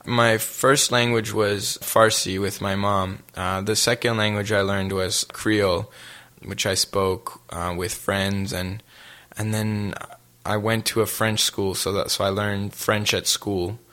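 A young man from Haiti, answering interview questions in a soft-spoken, casual way, often restarting his phrases. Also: fairly low pitch, level tone, slow. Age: young adult. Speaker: interview guest.

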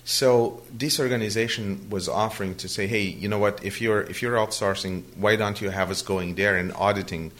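A man's voice: lowish voice, steady tone, normal speed, few pauses, fairly bright tone. A Brazilian man, native Portuguese speaker, answering interview questions in a hesitant conversational way, often restarting his sentences. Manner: hesitant, many restarts and fillers.

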